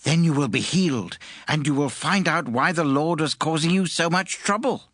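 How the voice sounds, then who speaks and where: A man's voice: fairly high pitch, normal speed, fairly bright tone, no room sound, studio quality. A man playing a solemn pagan priest giving counsel in a dramatized audiobook.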